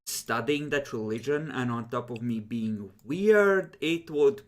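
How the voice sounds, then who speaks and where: A man's voice: medium pitch, animated, slow pace. A man talking casually and wryly as a player building his character for a tabletop role-playing game, swearing now and then.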